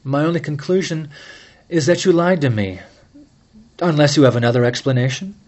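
A man voicing a calm, incisive amateur sleuth who lays out his case in measured, formal speech, for an audiobook. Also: on the high side, voice pushed up, natural voice. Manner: measured, mild yet relentless, formal address.

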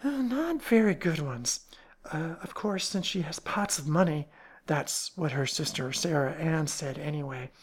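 A woman's voice: low voice, lively intonation, measured pace. A woman voicing an eager, gossipy young female shop clerk in a storybook reading, chatty and confiding. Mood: earnest.

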